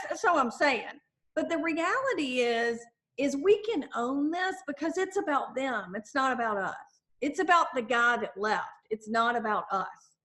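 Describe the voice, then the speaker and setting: A middle-aged woman author talking in a conversational interview, lively and acting out the voices of the people she quotes. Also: a little high. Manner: animated, acts out quoted voices. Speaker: author and guest.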